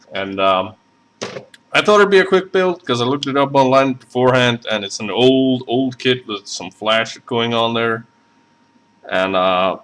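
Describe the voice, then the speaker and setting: A man's voice: medium pitch, fairly bright tone. A man with a Southern accent chatting casually and rambling, full of fillers, while building a model at his hobby desk. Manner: rambling, self-deprecating, many fillers. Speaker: scale model builder streaming. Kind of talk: casual live stream chat.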